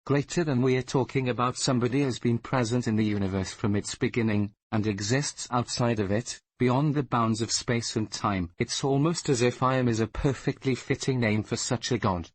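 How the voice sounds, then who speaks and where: Man with an average voice reading comments out briskly, dry and close. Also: mid-range voice, slightly rough, dry recording, rough recording. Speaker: comment reader. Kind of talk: reading forum comments aloud.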